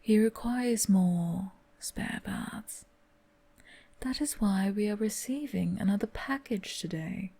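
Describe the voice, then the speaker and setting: Cold whispering woman, breathy and slow. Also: medium pitch, dark tone. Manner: cold, commanding, often whispered. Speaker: channel host acting a cold manor mistress. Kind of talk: ASMR roleplay acting.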